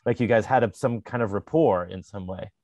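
Low-voiced moderator on a video call. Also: male voice, brisk, dark tone, no room sound, lo-fi sound. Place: small room indoors.

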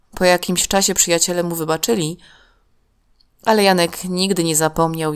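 Smooth low-pitched woman storyteller. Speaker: narrator. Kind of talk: scripted children's story narration.

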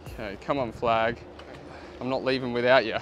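A man in his thirties with an Australian accent, chatting casually and excitably as he hosts an outdoor vlog. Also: mid-range voice, moderate pace, lo-fi sound. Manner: excitable, exclaiming. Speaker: host of a magnet fishing vlog. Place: outdoors beside a canal. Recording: outdoor noise, traffic and ambience.

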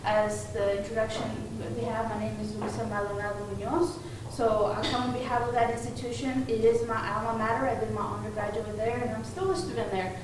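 A woman's voice: fairly high pitch, level tone, a little fast, few pauses. A young woman from Mexico whose first language is Spanish gives an informal conference talk with frequent fillers and restarts, standing in a conference room. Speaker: conference presenter. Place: conference room with projector screen. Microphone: room mic heard from a distance. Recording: echoey room, distant mic, background noise.

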